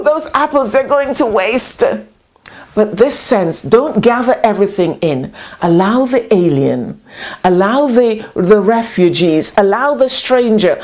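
Middle-aged woman bishop, measured unhurried sermon delivery, headset mic, small quiet room. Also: medium pitch. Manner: slow, deliberate preaching with spread-out phrasing. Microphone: headset mic, camera facing her across a table.

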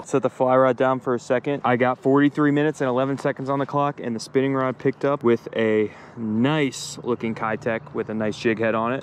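Casual man vlogging outdoors, faint background noise. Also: mid-range voice. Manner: informal, mild slang and swearing. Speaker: fishing video host. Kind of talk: casual vlog talk to viewers. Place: outdoors by a pond. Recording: noisy outdoor ambience.

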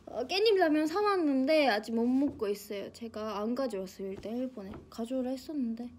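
A woman's voice: animated, fairly quick, slight background noise. A young woman in her twenties chatting playfully on a phone livestream, teasing and answering comments. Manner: playful and teasing, bursts into high shouts and laughs. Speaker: idol livestream host. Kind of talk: casual livestream chat reading comments. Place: small bright indoor room, leaning on a table. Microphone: phone held close at arm's length.

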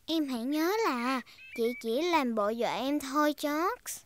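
Very high, childlike little girl pig. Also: unhurried, background noise. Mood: bossy, earnest. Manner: childlike, earnest, instructing. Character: bossy, cheerful little girl pig, big sister. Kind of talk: Vietnamese-dubbed preschool cartoon acting.

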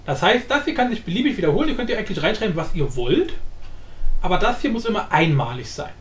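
High-pitched, animated man in an echoey room. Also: fast. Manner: informal, thinking aloud, repeating himself. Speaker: tutorial host. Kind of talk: casual unscripted software tutorial. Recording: roomy echo with faint background noise.

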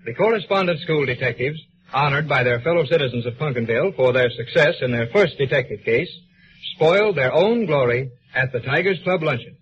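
A man's voice: medium pitch, fairly quick, lightly acted. A man playing a grandiose announcer narrating a 1930s radio serial in a formal, mock-solemn way. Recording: muffled, noisy 1930s radio recording.

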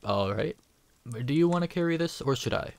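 A woman playing a very low-voiced, kindly adventurer. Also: lively intonation, a little fast, gravelly, dry recording. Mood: casual, friendly. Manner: gentle, casual, reassuring. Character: kind, reassuring adventurer and patient friend. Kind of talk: fantasy audio drama acting.

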